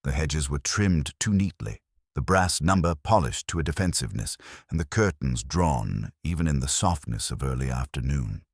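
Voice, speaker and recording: Very deep-voiced male mystery narrator. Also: expressive, normal speed, husky, no room sound, studio quality. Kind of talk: scripted narration of a detective mystery.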